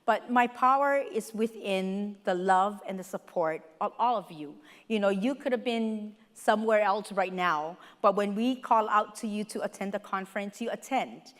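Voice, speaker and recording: A woman with a Samoan accent, whose first language is Samoan, giving a warm and humorous welcome speech as a faculty member at a conference. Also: medium pitch. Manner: warm, heartfelt and humorous, with lists spoken slowly and deliberately. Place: large conference hall. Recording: hall with long reverb.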